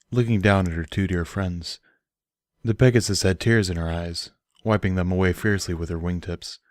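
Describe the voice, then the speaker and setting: Deep-voiced man narrating a story. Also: no room sound, clean studio sound. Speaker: fan fiction audiobook reader.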